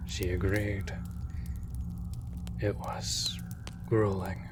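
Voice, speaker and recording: A man playing a gentle, world-weary monster hunter in an intimate comfort roleplay, speaking in breathy half-whispers with halting delivery. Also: low voice, little pitch movement, noisy background. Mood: wistful, subdued. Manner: slow, halting, hushed delivery. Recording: loud rain and cave ambience. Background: rain in a cave.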